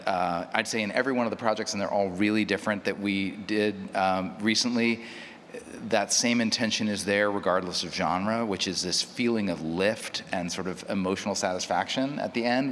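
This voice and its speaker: A man's voice: fairly low pitch, fairly bright tone. A man speaking as a panelist, explaining his approach in a discussion. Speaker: panelist, audio drama producer. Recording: echoey hall with faint room noise.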